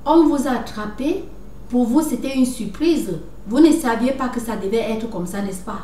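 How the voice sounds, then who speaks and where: A fairly high-voiced woman lectures her viewers, breaking off and starting over, tossing in "right?" to check they follow. She sits a bit back from the mic in an echoey room, with faint noise underneath. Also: normal speed, warm tone, far from the mic. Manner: lecturing, repeats "n'est-ce pas", many restarts. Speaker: video commentator. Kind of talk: casual monologue to viewers.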